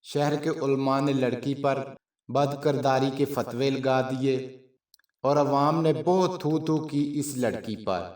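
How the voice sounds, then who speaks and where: A man narrating a scripted story in a measured, formal storytelling manner. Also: mid-range voice, darkish tone. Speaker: channel narrator. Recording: roomy echo, distant from mic.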